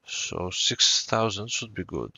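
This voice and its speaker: A man's voice: on the low side, very animated, slow pace, creaky, bright, forceful tone, slightly rough. A man giving casual game commentary as a streamer, joking and sardonic. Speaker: gaming streamer. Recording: dull, echoey room recording.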